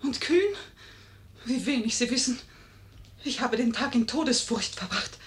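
A woman's voice: a little high, animated, unhurried. An actress playing an elegant, cunning lady who feigns helpless fear, in a theatrical 1950s German radio play style. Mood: impassioned pleading. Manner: pleading, theatrical.

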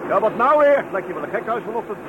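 An actor playing a gruff, harried, impatient workshop boss in a radio play, brusque and unsympathetic, on a tinny, noisy recording. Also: male voice, high-pitched, animated, fast talker. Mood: exasperated. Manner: brusque, irritable. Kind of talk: Dutch radio play acting. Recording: tinny, band-limited sound with loud noise.

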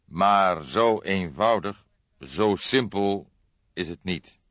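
A man voicing a grey-haired veteran police detective in an audiobook, calm, pensive and measured, explaining things with solemn deliberation. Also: low voice, heavily acted. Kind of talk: audiobook character dialogue. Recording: dull lo-fi audiobook, roomy echo.

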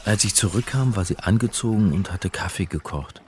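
Man acting deep-voiced, harried scholar son. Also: brisk, background noise, natural voice. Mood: wry narration. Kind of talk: radio drama dialogue and first-person narration.

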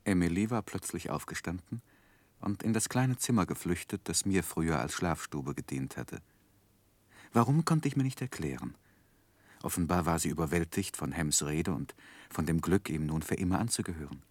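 An actor playing a reflective gentleman narrator recalling his youth in a 1960s radio play, measured and literary. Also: low-pitched, lively intonation, no echo.

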